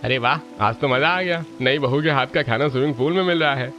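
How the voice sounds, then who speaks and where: A man's voice: mid-range voice, fairly quick, pushed and bright, close to an everyday voice. A man voicing a genial, approving member of a cartoon family. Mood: pleased. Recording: dull lo-fi track with music.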